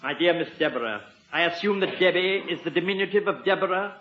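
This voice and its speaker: Male British butler role, fairly high voice, impeccably formal and dry, played by an actor in vintage radio comedy, muffled echoey sound. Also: fast talker, lightly acted. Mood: dry exasperation. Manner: formal, precise, deferential, dry. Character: very proper, dryly witty English butler. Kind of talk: 1950s radio comedy acting.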